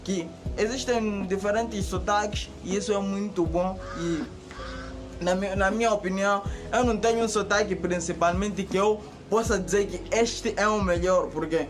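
A young man with a Mozambican Portuguese accent talks casually to the camera for his channel, animated and gesturing with both hands, recorded close up indoors by a bare concrete wall. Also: sits high, normal speed, over music. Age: young adult. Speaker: YouTuber.

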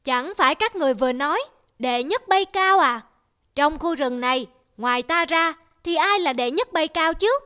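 A woman voicing a big, boastful, bullying male eagle in an animated fable, arrogant and sneering. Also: high voice, normal speed. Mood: haughty. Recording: loud music behind the voice. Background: music.

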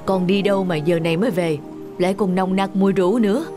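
Music plays clearly behind a protective middle-aged dad acted by a woman. The voice is earnest and parental, sitting at a middle pitch for a woman, in cartoon dubbing style. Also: steady tone, fast, natural voice. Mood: concerned, stern. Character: big middle-aged father, protective, patient, concerned. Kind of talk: animated moral-tale dubbing.

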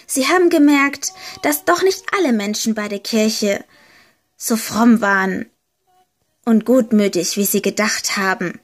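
A woman reading a short homemade fairy tale aloud, slow and deliberate, leaning gently into key words. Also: a little high. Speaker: storyteller. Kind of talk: slow storybook narration.

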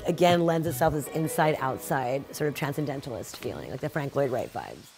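Homeowner woman, 30s, low, flowing tour chat over music. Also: animated, fairly quick, a little mellow. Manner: enthusiastic, flowing, many fillers like "sort of". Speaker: homeowner giving a house tour. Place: indoor house rooms.

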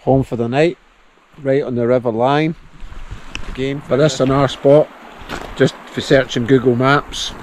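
Outdoor camera audio from a Scottish man in his thirties, cheerful and chatty with easy laughs. He talks to a handheld camera at arm's length in an open glen, and clear background noise runs under his voice. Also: mid-range voice, low-quality recording. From Scotland. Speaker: vlogger. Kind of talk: casual vlog narration. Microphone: action camera at arm's length.

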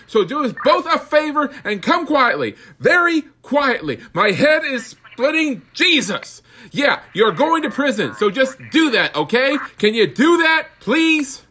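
A man playing a cranky, hungover policeman in a loud, exasperated comic manner. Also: extremely high pitch, sing-song, unhurried, voice pushed up, heavily acted.

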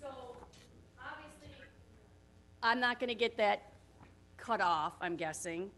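A property manager with a loud voice, presenting figures at a town council work session over a room microphone in council chambers. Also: female voice, a little high, expressive, slow pace. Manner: plainspoken, talks through her figures with frequent "so what I did".

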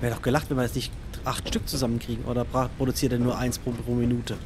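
A man's voice: mid-range voice, flat delivery, quick pace, noisy background. A man giving casual let's play commentary, thinking aloud and chatting to himself and his viewers.

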